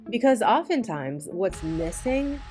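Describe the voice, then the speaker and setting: A woman narrating a scripted true crime video in a deliberate, measured way with emphatic phrasing. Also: medium pitch, big pitch swings. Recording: voice-over with music behind it. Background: music.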